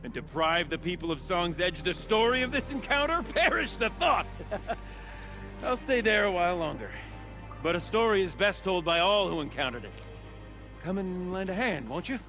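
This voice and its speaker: An actor playing an eccentric, chatty old tinkerer in a video game, with florid, theatrical, rambling speech. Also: male voice, sits high, big pitch swings, moderate pace, over music. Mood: warm, theatrical. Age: older. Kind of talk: video game character acting.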